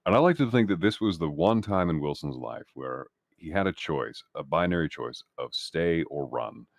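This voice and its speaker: A man's voice: very deep voice, lively intonation, normal speed, gravelly, no echo. A man playing a tabletop game, talking casually and at length, reflective and given to swearing. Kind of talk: casual talk about his game character.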